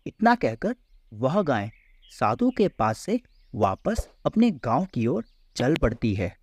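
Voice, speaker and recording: A man's voice: mid-range voice, very animated, takes it slowly, a little mellow, a little hiss or hum. A man narrating a scripted children's story as a storyteller in a close voice-over.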